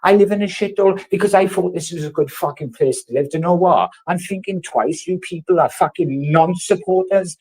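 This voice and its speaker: A man in his thirties from South Wales, speaking, vents at his neighbours in a furious, foul-mouthed rant. His voice sits slightly high for a man and keeps pushing louder as he hammers each point. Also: brisk, hardly any pauses, breathy edge. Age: 30s. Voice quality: swears heavily, loud and confrontational. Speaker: vlogger. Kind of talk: angry rant to camera.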